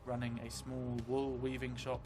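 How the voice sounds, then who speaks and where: Middle-aged male game streamer, measured first-person storytelling, thoughtful hesitations, mid-range voice, music clearly underneath. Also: mid-range voice, completely flat delivery. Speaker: solo role-playing game streamer. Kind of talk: improvised first-person tabletop game narration. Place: small indoor room, seated at a desk. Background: background music.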